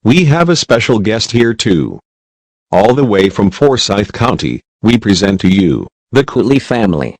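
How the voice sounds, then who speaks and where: A man's voice: on the low side, unhurried, dry recording, full character voice. A man voicing a formal television news anchor in a scripted emergency news broadcast. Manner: formal, even delivery.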